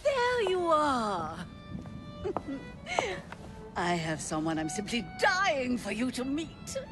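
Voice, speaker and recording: An actress playing an effusive, flighty Victorian high-society lady in a video game, theatrical and drawn-out. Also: huge pitch swings, over music, exaggerated voice. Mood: gushing, excited. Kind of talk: video game cutscene acting.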